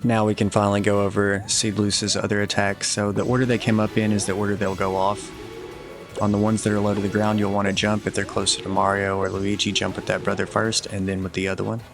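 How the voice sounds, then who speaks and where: A man's voice: fairly low pitch, completely flat delivery, fast, over music. A man giving casual video game walkthrough commentary and tips in a matter-of-fact, advisory way.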